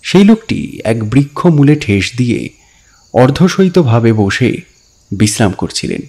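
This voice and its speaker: A man narrating a scripted story dramatically, in a deliberate, expressive storytelling manner. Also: medium pitch, slightly rough. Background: background music.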